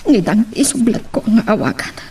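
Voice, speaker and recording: Actress as aggrieved woman, high pitch, very animated intonation, bitter, audio drama. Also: moderate pace. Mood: bitter, anxious. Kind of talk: Ilocano radio-style drama acting. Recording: clear background noise and ambience.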